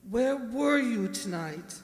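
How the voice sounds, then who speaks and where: In a long, echoing reverb, a woman plays an imperious elderly matriarch of a radio play. Her voice sits fairly high and she speaks slowly and bluntly, with urgent, sharp-tongued authority. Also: lively intonation. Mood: suspicious, demanding. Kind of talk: old-time radio drama acting. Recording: very echoey hall, long reverb.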